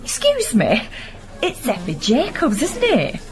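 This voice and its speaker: An actress playing a bubbly, starstruck waitress in a café. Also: female voice, high-pitched, huge pitch swings, slow pace, slight background noise, exaggerated voice. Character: gushing young café waitress.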